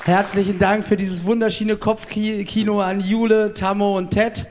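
High-voiced man hosting on stage. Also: little pitch movement, normal speed, darkish tone. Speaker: stage host. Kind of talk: casual stage announcement. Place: live event stage. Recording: live stage, crowd, faint noise.

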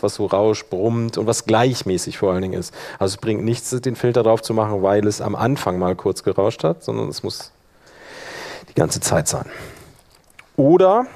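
A man with a fairly low voice and a strong gravelly creak leads a live software tutorial. He speaks informally as a workshop presenter, explaining each step and thinking aloud as he goes.

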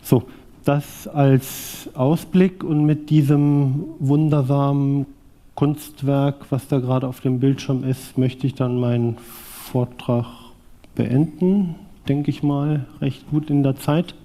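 Dark-voiced German man giving a technical talk. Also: mid-range voice, fairly even intonation, slow pace. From southwest Thuringia, East Germany. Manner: loose and thinking aloud, many fillers and restarts. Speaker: conference speaker presenting a vintage graphics device.

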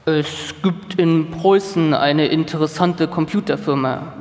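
A man's voice: fairly high pitch, a little slow, darkish tone, close to an everyday voice. A man voicing quoted experts in a formal, declarative way for a live documentary feature. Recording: hall reverb, live stage reading.

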